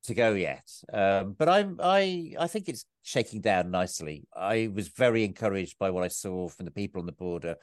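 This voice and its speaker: A man's voice: sits low, lively intonation, normal speed, hardly any pauses, no room sound, clean studio sound. A man speaking as a podcast guest and author, talking in a reflective way, often repeating words as he starts a thought. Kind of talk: reflective conversation.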